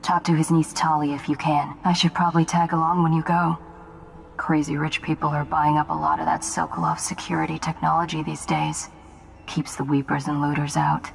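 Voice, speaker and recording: A woman playing a cool, ruthless assassin's lieutenant in a video game, low-key and matter-of-fact. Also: flat delivery, a little fast, soft background music. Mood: quiet, eager. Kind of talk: dramatic video game acting. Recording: clear background ambience noise.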